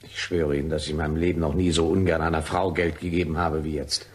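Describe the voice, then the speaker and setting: A man playing a pompous, irritable, sardonic author in a German crime radio play, with a husky voice and a curt manner. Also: a bass voice, little pitch movement, quick pace. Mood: cold, resentful. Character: pompous male writer of saints' lives, irritable, sardonic.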